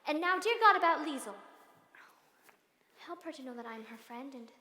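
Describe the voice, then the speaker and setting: An actress playing a young, devout governess who speaks warmly and earnestly, in classic film musical style. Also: female voice, a little high, quick pace, a little hiss or hum, close to an everyday voice. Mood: prayerful. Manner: warm and earnest. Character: young woman governess, cheerful, devout, motherly. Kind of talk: 1960s film musical acting. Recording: roomy reverb, faint film score.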